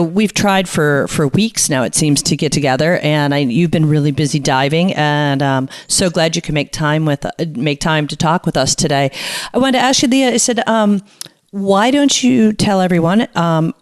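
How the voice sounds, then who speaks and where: A woman's voice: lowish voice, normal speed. Bubbly woman podcast host, a bit froggy. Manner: bubbly, rambling questions with restarts. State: slightly hoarse from a cold. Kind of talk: casual interview chat.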